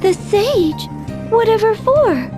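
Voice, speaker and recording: A woman's voice: very high voice, lively intonation, takes it slowly, full character voice. A woman voicing a girlish young heroine in a video game, with an earnest manner. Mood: puzzled. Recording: faint game music behind voice. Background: music.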